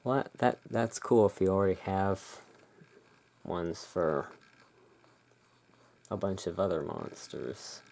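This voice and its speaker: A soft-spoken ASMR performer playing the relaxed owner of a gothic curiosity shop in a soothing, unhurried manner. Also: low voice, vocal fry.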